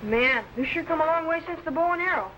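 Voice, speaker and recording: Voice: very high-pitched, animated, fast, bright, forceful tone, slight background noise, full character voice, poor audio. A performer playing an eager, inquisitive young boy assistant in a 1950s science fiction serial. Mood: curious, amazed. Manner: wide-eyed questioning, dutiful repeating of orders.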